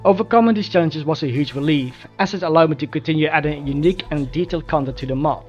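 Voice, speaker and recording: A man's voice: a little high, darkish tone. A man reading a scripted, formally worded progress report as a mod developer. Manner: formal, measured wording. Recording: dull lo-fi voice recording. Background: faint music.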